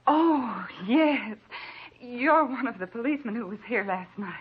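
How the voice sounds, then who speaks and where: An actress playing a frail, nervous, forgetful American housewife, hesitant and meek, in an old-time radio drama. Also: female voice, on the high side, a little slow, slightly rough. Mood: uncertain. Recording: dull, noisy old radio recording.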